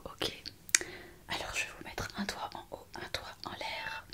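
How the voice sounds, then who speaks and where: A woman playing a kind, eager young hospital intern in an ASMR roleplay, speaking in a soft, breathy whisper with an attentive, hesitant manner. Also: measured pace. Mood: attentive, soothing. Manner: attentive, hesitant, gently reassuring. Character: kind, eager, chatty young female hospital intern on her first night shift.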